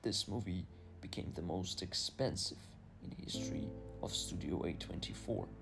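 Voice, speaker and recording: A man reading a scripted film review voice-over in a grave, measured way. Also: low voice, vocal fry, loud music behind the voice.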